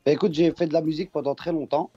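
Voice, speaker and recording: A man from Belgium, a guest musician talking in a relaxed way with frequent fillers and restarts. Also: fairly high pitch, racing, breathy edge, dry recording, muffled recording, soft background music.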